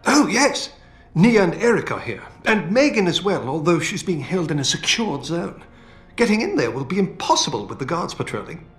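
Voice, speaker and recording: An actor playing an older British scientist in a video game, feisty and fatherly, with colourful, expressive delivery. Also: fairly high pitch, moderate pace. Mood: conspiratorial. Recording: roomy game audio with music.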